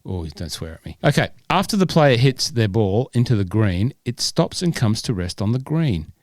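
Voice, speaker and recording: A man's voice: fairly low pitch, sing-song, normal speed, dry recording, clean studio sound. A man co-hosting a golf podcast, chatting in a rambling, self-deprecating way. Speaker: podcast co-host. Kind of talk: casual podcast chat.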